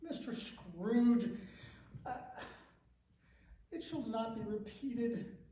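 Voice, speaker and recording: A man's voice: very high voice, expressive, extremely slow, dark tone, full character voice. A man playing a meek, hesitant, deferential clerk in a radio play. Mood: nervous, apologetic. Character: meek, apologetic male clerk, nervous of his boss. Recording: echoey room, distant microphone.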